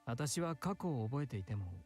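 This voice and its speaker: A man plays an effeminate young man in a visual novel, anxious and wistful. He talks quickly at a medium pitch, in hushed feminine phrasing, over music. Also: big pitch swings, close to an everyday voice. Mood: wistful, earnest. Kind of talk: Japanese visual novel voice acting. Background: game music.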